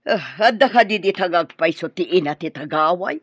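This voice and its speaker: A woman's voice: lowish voice, animated, normal speed, husky, dry recording, muffled recording. Woman acting a sarcastic, combative Ilocano mother, dry sound. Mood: mocking. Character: combative, sarcastic parent bickering with an in-law. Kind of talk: Ilocano radio drama acting.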